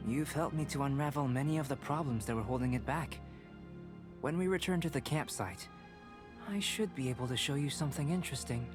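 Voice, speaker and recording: Man voicing a young alchemist scholar, erudite, calm, measured and articulate, mid-pitched, game music behind. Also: close to an everyday voice. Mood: musing. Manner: measured, articulate, softly academic. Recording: studio game voice with clear music.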